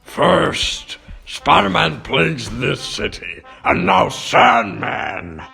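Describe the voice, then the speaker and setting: A man acting out a blustering, short-tempered middle-aged newspaper boss for a comic dub, gruff and barking, with a gravelly voice. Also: on the high side, wildly swooping pitch, extremely slow, pushed and bright, soft background music, full character voice. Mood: demanding.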